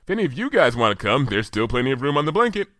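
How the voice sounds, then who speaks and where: A man's voice: mid-range voice, sing-song, rapid-fire, a bit bright, no room sound, clean studio sound, pitched above the performer's own voice. A man voicing an eager little boy dragon helper with a friendly manner.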